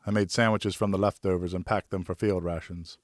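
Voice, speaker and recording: A man's voice: sits low, fairly even intonation, a little fast, dry recording, studio quality. A man reading a scripted first-person novel as an audiobook narrator.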